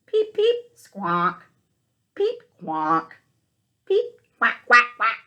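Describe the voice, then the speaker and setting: A woman voicing a young male storybook duckling, with short squeaky peeps that break into squawks and quacks. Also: wildly swooping pitch, drawn-out words, sharp tone, lo-fi sound, heavily acted. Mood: excited squawking.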